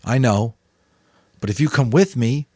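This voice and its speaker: Man playing an earnest, insistent boy, raised pitch. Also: unhurried, mellow, no echo, lightly acted. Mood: determined. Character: earnest twelve-year-old boy, pleading and determined. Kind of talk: dramatic audiobook reading.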